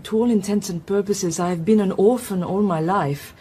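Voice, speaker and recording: A woman's voice: medium pitch, moderate pace, dark tone, slightly rough, lightly acted. A woman playing a polite, reserved young married woman in an audio drama, speaking formally and with composure. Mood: composed.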